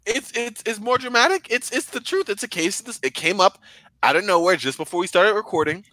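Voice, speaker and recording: A man's voice: sits high, expressive, fairly quick, bright, forceful tone, no echo. A man co-hosting a podcast with a case of the sniffles, talking in defensive, exasperated banter. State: stuffy with sniffles. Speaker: podcast co-host. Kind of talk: casual banter. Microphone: headset.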